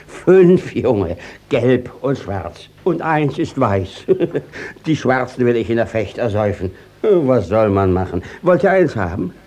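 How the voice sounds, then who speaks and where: A man playing a shifty, battered village judge in a German radio play, blustering and stammering evasively with a husky voice. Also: medium pitch, very animated, slow pace, darkish tone, a little hiss or hum. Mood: shifty, grumbling. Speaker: actor in a radio drama.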